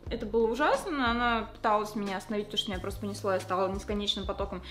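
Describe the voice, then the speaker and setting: A woman's voice: mid-range voice, fairly bright tone. A young woman vlogger in a small room, talking candidly to the camera in a slangy, casual way while doing her makeup. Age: young adult. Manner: fast, slangy and candid, with frequent fillers. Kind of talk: casual confessional chat to camera.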